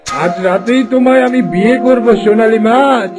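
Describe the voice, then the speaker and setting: A man's voice: very high-pitched, moderate pace. A man playing a dramatic, lovestruck merman prince in a Bengali fairy-tale cartoon, with a villainous streak. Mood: lovestruck, eager. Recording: music behind the voice.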